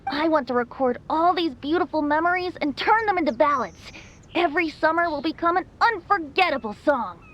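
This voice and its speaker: A woman's voice: high voice, expressive, fairly quick, fairly bright tone, highs cut off. A woman voicing a playful, carefree boyish bard in a video game, with an airy, teasing, theatrical delivery. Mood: gentle, cheerful.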